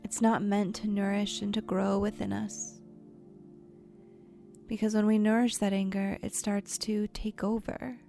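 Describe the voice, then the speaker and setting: Soft, creaky-voiced woman guiding a meditation. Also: mid-range voice, little pitch movement. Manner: soothing, gentle, unhurried with pauses. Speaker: guided meditation host. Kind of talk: guided imagery meditation narration. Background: soft background music.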